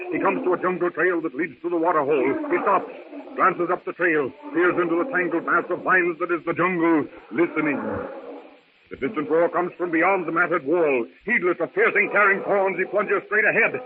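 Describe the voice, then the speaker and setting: A man's voice: on the high side, normal speed, natural voice. A man narrating a 1930s radio serial in a clipped, breathless, melodramatic style. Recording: noisy, tinny old radio recording.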